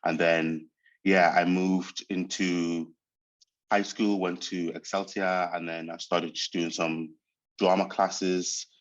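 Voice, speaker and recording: A man reflects on his path as an actor, pausing often to search for words. He grew up speaking Portuguese first. The sound is dull and muffled. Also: low voice, little pitch movement. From Angola. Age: 30s. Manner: thoughtful, hesitant, searching for words. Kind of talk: reflective interview monologue.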